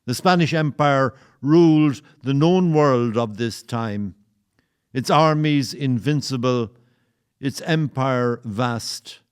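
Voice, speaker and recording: An actor as the solemn narrator of an audio play, delivering scripted narration in a portentous, theatrical way. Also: male voice, mid-range voice, slow, clean tone, natural voice. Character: solemn storyteller introducing and presiding over the play.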